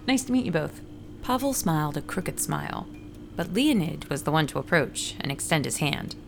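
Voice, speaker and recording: A woman's voice: low voice, sing-song, moderate pace, creaky, a little hiss or hum, exaggerated voice. A woman playing a friendly, ordinary runner and ad writer, narrating her story in a scripted fiction podcast. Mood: friendly, upbeat. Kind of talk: first-person scripted audio drama narration with dialogue.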